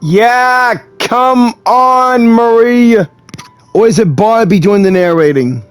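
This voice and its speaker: Actor as a slow-talking, wry young traveler. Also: medium pitch, clean tone, no echo, faint music behind, lightly acted. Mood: casual, teasing. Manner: casual, wry. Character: easygoing young traveler, dryly teasing. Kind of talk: video game voice acting.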